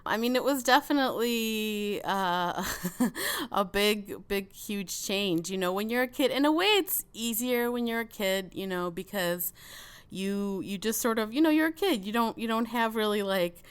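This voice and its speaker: A woman's voice: mid-range voice, animated, a little slow, clean tone. A woman with a mild Chicago accent, born in the Soviet Union, talking as an interview guest in a loose, chatty way full of fillers and restarts. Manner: chatty, many fillers and restarts, laughs between thoughts. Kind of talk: casual interview conversation.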